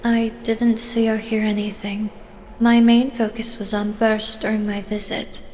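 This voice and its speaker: Woman acting as flat, reserved fighter, hall reverb. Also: a little high, measured pace, natural voice. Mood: matter-of-fact. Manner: reserved, even, formal. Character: reserved, dutiful female long-range fighter. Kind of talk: scripted fan audio drama acting. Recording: reverberant hall, faint noise.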